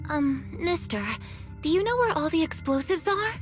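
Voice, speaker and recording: Very high, plucky young girl fighter. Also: animated, moderate pace. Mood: earnest, reassuring. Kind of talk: anime-style video game voice acting. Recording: loud game music behind. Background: loud game music.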